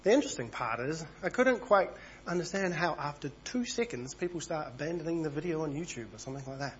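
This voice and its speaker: Husky guy with a cold giving a chatty evening sermon, slightly high voice, dull muffled sound. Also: lively intonation, fast, slight background noise. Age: over 35. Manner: conversational, reads passages aloud, small asides. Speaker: preacher.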